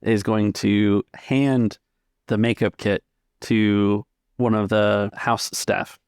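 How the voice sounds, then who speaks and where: Game master, man, lower-pitched with vocal fry, casual off-the-cuff narration. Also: little pitch movement, slow, a little mellow, no echo, studio quality.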